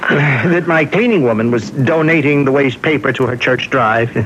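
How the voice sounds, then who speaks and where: An actor playing a nervous, evasive business manager in an old-time radio mystery, stammering and apologetic on a muffled vintage broadcast. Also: male voice, mid-range voice, moderate pace, fairly bright tone, slight background noise, close to an everyday voice. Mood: uneasy, hesitant.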